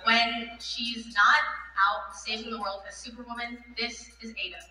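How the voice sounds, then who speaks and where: A woman's voice: a little high, little pitch movement, normal speed, bright, forceful tone, a little hiss or hum. A woman introducing herself on a theatre stage with light storytelling and a small joke, recorded far off in an echoey hall.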